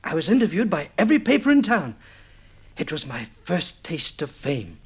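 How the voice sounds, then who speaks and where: An actor playing an excitable, obsessive newlywed man in an old-time radio drama, halting and stammering as he tells his story. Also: a little high, husky, full character voice. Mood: proud, excited. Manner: halting, stammering repeats, swinging into boastful excitement.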